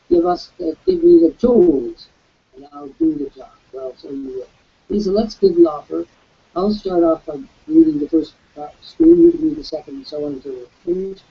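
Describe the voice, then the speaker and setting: A man hosting a live online webcast, with a croaky voice, giving an emphatic, preacherly sales pitch. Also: muffled recording. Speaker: webcast host.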